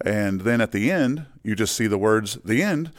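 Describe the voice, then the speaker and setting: Low-voiced man co-hosting a film podcast. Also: normal speed. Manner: relaxed, fillers, brief agreeing replies. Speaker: podcast co-host and producer. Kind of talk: casual podcast chat.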